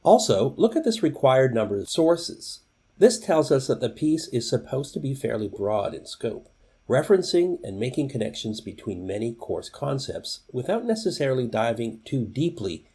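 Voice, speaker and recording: A man's voice: medium pitch, mellow, breathy edge. A man presenting scripted instructional tips, speaking directly to the viewer in an instructive way.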